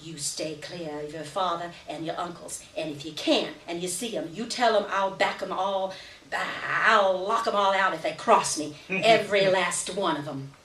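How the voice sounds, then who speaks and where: A woman's voice: fairly low pitch, normal speed, close to an everyday voice. A woman voicing a fierce, commanding working-class mother who threatens and gives emphatic orders in a live story reading. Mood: threatening. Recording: roomy, distant live reading with background noise.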